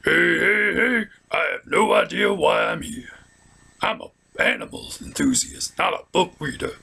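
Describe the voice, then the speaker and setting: A man voicing a reluctant, defensive female cartoon pony in a strained husky falsetto for a puppet show skit. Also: expressive, a little slow, sharp tone, full character voice.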